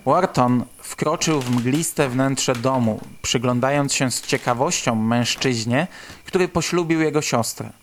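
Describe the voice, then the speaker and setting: A man's voice: medium pitch, measured pace, slight background noise. A man reading scripted literary narration for a radio drama.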